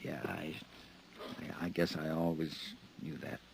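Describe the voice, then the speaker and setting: An actor playing a nervous, hunted male embezzler in a 1950s radio drama, edgy and halting. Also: very deep voice, level tone, gravelly, background noise, heavily acted. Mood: weary.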